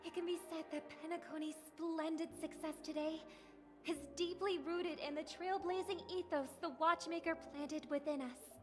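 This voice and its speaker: Gracious young orator, formal and poised, light high voice over game music. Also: female voice, fairly even intonation, moderate pace. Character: gracious young woman giving a ceremonial speech. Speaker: festival speaker.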